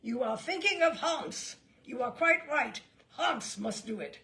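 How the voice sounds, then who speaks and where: A woman's voice: sits high, lively intonation, a little slow, gravelly, sharp tone, heavily acted. A woman voicing a bluff, fat old ship's captain who declaims and cajoles emphatically in a storybook reading. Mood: booming, cajoling.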